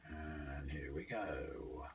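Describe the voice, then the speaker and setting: A man giving a casual, halting, unhurried hands-on demonstration, thinking aloud as he goes. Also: very deep voice, far from the mic, slight background noise. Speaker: radio hobbyist reviewer.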